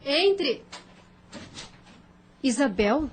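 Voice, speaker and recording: An actress playing a gentle, sheltered young bride-to-be in an audio novel, earnest in manner. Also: female voice, high voice, sing-song, extremely slow, exaggerated voice. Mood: puzzled concern.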